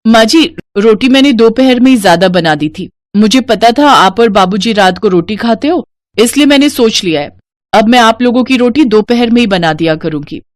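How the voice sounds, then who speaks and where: A woman voicing an irritable, stubborn young daughter-in-law in a moral story, with a brisk, complaining manner. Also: a little high, animated, dry recording, clean studio sound, close to an everyday voice. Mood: matter-of-fact. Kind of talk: scripted Hindi moral-story cartoon voice.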